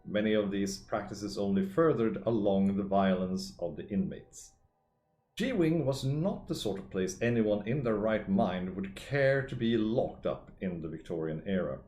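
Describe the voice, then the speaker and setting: A man streaming a video game, talking casually and excitably, with a gravelly, hoarse voice from shouting. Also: lowish voice, measured pace. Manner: chatty, excitable, reading lore aloud. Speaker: gaming streamer. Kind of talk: casual gameplay commentary. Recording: echoey room with faint noise.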